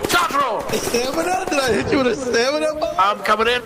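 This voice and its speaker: A man playing a pompous, theatrical British villain, shouting taunts over a game voice chat. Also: very high-pitched, animated, fairly quick. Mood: gloating. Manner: pompous, shouting his moves. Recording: game voice chat clip with crowd noise.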